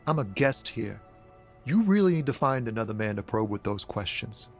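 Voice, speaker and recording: An actor voicing a laid-back young street poet and courier, talking in an easygoing, slangy way. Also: male voice, mid-range voice, very animated, normal speed, over music. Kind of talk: scripted game dialogue.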